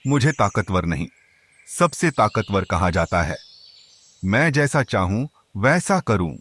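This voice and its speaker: A man's voice: on the low side, big pitch swings, unhurried, a bit bright, exaggerated voice. A man voicing a boastful, domineering lion king in a children's cartoon, speaking in a pompous, commanding way.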